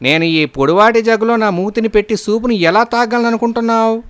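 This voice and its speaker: A man's voice: high-pitched, a little fast, fairly bright tone, smooth, dry recording, pitched above the performer's own voice. A man voicing a testy fox in an animal fable, with a pointed, questioning manner. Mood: angry.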